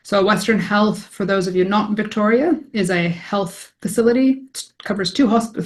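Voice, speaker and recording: Canadian woman, forties, statistician presenter; chatty, self-deprecating, lots of ums. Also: mid-range voice. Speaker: academic statistician presenting a talk. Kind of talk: informal presentation to colleagues.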